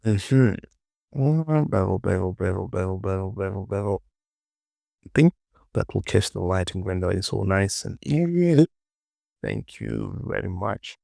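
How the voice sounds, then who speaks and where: A man with a deep voice talks slowly through a casual tutorial. He mutters to himself and repeats short phrases, with plenty of creak in his voice. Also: lively intonation, dark tone, no echo, studio quality. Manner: muttering, repetitive self-talk. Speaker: 3D modeling tutorial host. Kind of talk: casual screen-recorded tutorial, thinking aloud.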